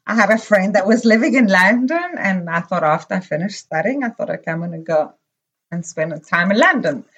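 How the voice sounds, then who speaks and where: Middle-aged woman from zimbabwe, podcast guest, rambly reflective storytelling, lots of ums, normal pitch. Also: lively intonation, a little fast, fairly bright tone, husky. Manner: reflective, meandering storytelling with many fillers. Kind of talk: casual interview conversation.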